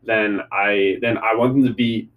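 A man on a casual sports chat, speaking haltingly with many fillers and small laughs. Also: fairly low pitch, fairly even intonation, dull audio, a little hiss or hum. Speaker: panelist.

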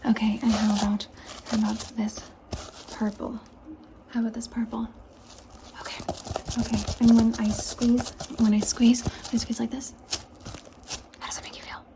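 A woman whispers through an ASMR session. Her voice sits a little high, with a grainy edge of fry, and she repeats each question slowly. A steady hiss and small clicks sit under her voice at the close mic. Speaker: ASMR creator. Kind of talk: ASMR roleplay. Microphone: close camera mic.

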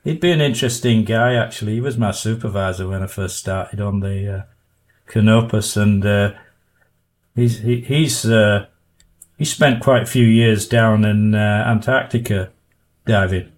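An older man with a Yorkshire accent, a diver and author, reminiscing in a halting, self-effacing way as a guest in a casual podcast interview. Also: lowish voice. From Yorkshire, northern England.

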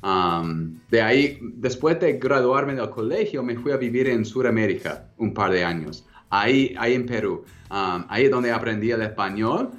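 A man in his thirties with an American English accent, speaking Spanish as a second language as a guest in a casual interview, relaxed and hesitant, with frequent ums and restarts. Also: on the low side, normal speed, few pauses, dull audio. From United States. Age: 30s. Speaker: guest entrepreneur.

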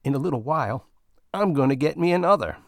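A man's voice: mid-range voice, sing-song, a little slow, vocal fry, no room sound, studio quality, voice pushed up. A man doing a crude, boastful old miner. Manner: folksy, ungrammatical drawl, leering. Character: crude talkative middle-aged male space miner.

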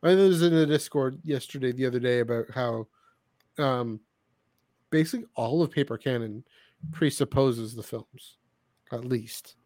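A man's voice: mid-range voice, moderate pace, darkish tone, dry recording. A man chatting casually as a podcast co-host, thinking aloud and hedging as he goes. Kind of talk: casual podcast chat about books.

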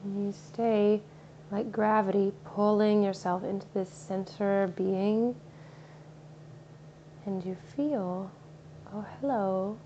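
Woman, meditation guide, mellow soothing voice, soft-spoken, slightly dull recording, faint background hiss. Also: mid-range voice, fairly even intonation, takes it slowly, pauses often.

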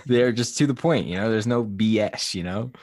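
A young man with a deep voice co-hosting a casual podcast, laughing often as he jokes around. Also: a little slow, no echo, clean studio sound. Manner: laughs often, relaxed and joking. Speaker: podcast co-host. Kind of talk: casual chat.

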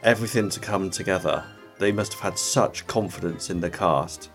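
A man's voice: low voice, moderate pace, faint music behind. A man co-hosting a podcast, talking earnestly and enthusiastically in a casual chat. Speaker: podcast co-host.

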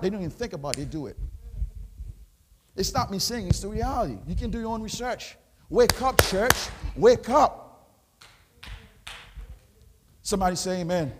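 A high-voiced man from Haiti with a Haitian French accent delivers a passionate sermon. He pushes his voice into forceful shouts as he urges the church on. Long reverb trails each phrase around the hall. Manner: preaching with rising, shouted exhortations. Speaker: pastor.

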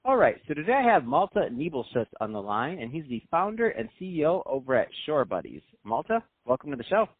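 Male business show host, quick upbeat delivery, dry thin sound. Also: fairly high pitch, very animated, no echo, narrow, tinny audio. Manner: upbeat, promotional. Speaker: podcast host. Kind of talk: scripted show intro and interviewing.

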